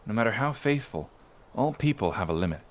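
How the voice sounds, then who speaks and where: A folksy male villager acted by a man reminisces in an ASMR roleplay story. His voice sits fairly low and moves up and down with plenty of animation. He muses conversationally, like someone telling local history. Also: lively intonation, brisk, close to an everyday voice. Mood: reflective, musing. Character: male island villager recalling childhood amid monsters, folksy.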